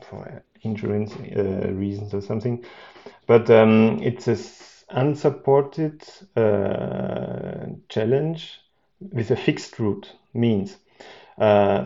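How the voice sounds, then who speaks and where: A man's voice: medium pitch, creaky, warm tone, dull audio. A man, a podcast guest who talks hesitantly with many fillers and restarts. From Austria.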